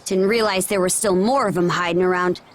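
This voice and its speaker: A woman's voice: on the low side, fairly even intonation, brisk, background noise, lightly acted. An actress playing a plain-spoken young woman fighter in a video game, talking in a casual, wry, reflective way. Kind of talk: video game cutscene voice acting.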